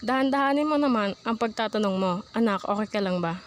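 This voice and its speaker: A woman's voice: medium pitch, a little fast, natural voice. A woman playing a worried, scolding but loving mother in an amateur student radio drama. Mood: tender.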